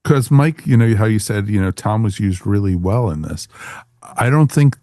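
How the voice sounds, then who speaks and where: A man's voice: on the low side, animated, normal speed, mellow, dry recording, clean studio sound. A man hosting a podcast in a casual, chatty, informal way. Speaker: podcast host.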